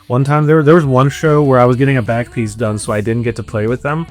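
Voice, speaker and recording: A man's voice: medium pitch, steady tone, fast, a little mellow, soft background music. A man, an indie band musician, chatting casually and jokingly in an interview. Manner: joking, banter with bandmate.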